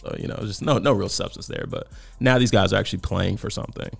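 A man, hoarse and raspy from coaching, chatting casually as a podcast co-host and laughing often. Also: on the low side, fast talker, soft background music. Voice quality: raspy, worn voice. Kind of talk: casual chat.

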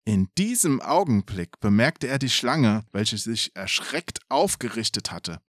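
A man's voice: mid-range voice, big pitch swings, a little slow, dry recording, studio quality. A man reading a folk tale aloud expressively as a podcast storyteller, with casual asides.

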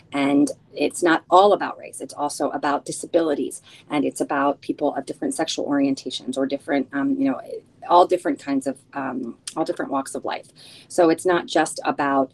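Woman, spanish is her first language, low voice, lots of ums, earnest. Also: gravelly, a little mellow, low-quality recording. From United States. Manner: earnest, halting with many fillers. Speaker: bar committee co-chair. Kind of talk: informal spoken introduction.

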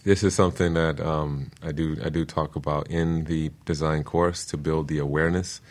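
Very deep-voiced man explaining earnestly. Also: steady tone, normal speed. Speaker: guest expert, permaculture teacher. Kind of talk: explanatory interview talk.

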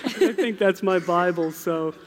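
A man's voice: high-pitched, unhurried, noisy background. A man with an American accent talking unscripted as an author on a live panel, with a wry, joking manner. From United States. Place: large indoor hall at a live museum event. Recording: reverberant hall at live event.

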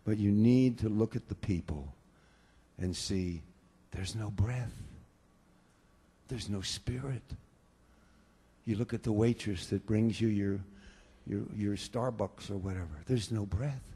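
A man from the United States preaching a sermon, swinging between quiet talk and loud bursts of fervor with repeated hallelujahs. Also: lowish voice, unhurried, slightly rough, in a large hall. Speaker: pastor.

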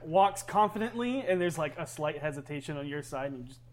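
A man's voice: on the high side, expressive, a little fast, heavy background noise. A man narrating as game master of a tabletop game, loose and hesitant with many fillers.

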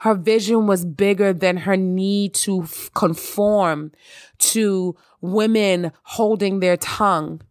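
A married woman hosting her own podcast, talking in an expressive, emphatic monologue with plenty of repetition. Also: medium pitch, slow, dry recording, studio quality. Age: adult, married. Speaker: podcast host. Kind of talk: casual monologue.

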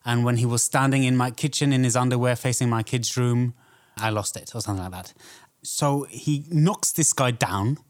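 Male podcast co-host, mid-range voice, casual and conversational, measured halting delivery. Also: mid-range voice. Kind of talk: casual film podcast chat.

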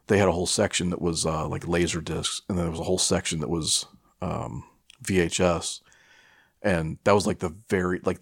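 Low-voiced American man, rambling casually. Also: no echo, clean studio sound. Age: middle-aged. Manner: profane, rambling, halting. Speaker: podcast co-host. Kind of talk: casual chat, rambling storytelling.

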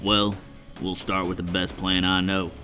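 A man voicing a gruff male gunslinger in a tabletop game, blunt and quick to curse. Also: low-pitched, level tone, sharp tone, soft background music. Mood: determined.

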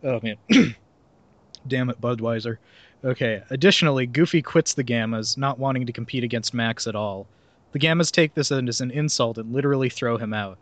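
A man's voice: mid-range voice, moderate pace, no echo. A man laughing through a wiki read. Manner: reads aloud with laughs and sarcastic asides. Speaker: podcast host reading a film synopsis. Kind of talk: casual comedic podcast chat.

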